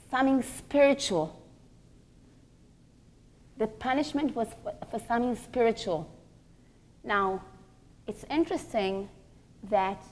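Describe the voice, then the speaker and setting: A woman teaching a religious class in a deliberate, emphatic way, pausing between short phrases and posing rhetorical questions. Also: medium pitch, a little mellow.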